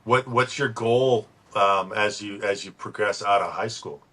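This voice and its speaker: Low-voiced male podcast host. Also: measured pace, bright, forceful tone. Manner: stumbling, repeats words while asking. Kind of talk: casual interview questions.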